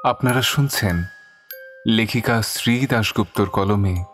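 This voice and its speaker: A man playing a genial narrator, reading a scripted audio story with expressive delivery. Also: lowish voice, a little slow, soft background music. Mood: genial, storytelling.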